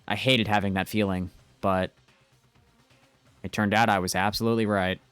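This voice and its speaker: A man's voice: fairly low pitch, flat delivery, normal speed, fairly bright tone, clear, resonant tone, no room sound, soft background music, natural voice. A man playing an anxious, earnest teenage schoolboy, narrating in the first person for an amateur game dub. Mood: uneasy, reflective.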